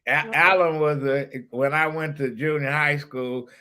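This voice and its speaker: A man's voice: on the high side, steady tone, unhurried, sharp tone, clean tone. A middle-aged man from the Detroit area hosting a podcast, talking boastfully and stumbling over his words. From Detroit area, Michigan. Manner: boastful, stumbling, laughs at own jokes. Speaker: podcast host. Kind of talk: casual podcast chat.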